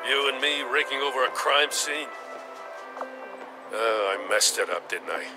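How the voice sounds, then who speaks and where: Wry veteran cop, music behind. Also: male voice, medium pitch, lively intonation, measured pace. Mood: rueful, sincere. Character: weary, dry, middle-aged veteran male police officer. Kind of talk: video game voice acting. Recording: music underneath.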